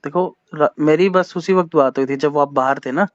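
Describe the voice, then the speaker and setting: Man on a phone line, slightly high voice, polite and probing, dull call audio. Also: moderate pace, no room sound. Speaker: phone caller. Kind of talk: tense phone conversation. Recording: muffled phone call recording.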